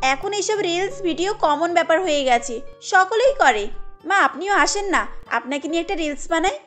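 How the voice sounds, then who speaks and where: A woman voicing a breezy, defiant, modern young daughter-in-law in an animated family story, pert and argumentative. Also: very high-pitched, moderate pace, soft background music.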